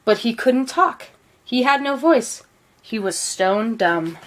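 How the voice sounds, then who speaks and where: Higher-voiced woman reading a storybook. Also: lively intonation, slow pace, slight background noise. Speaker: storybook reader. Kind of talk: expressive read-aloud of a children's picture book.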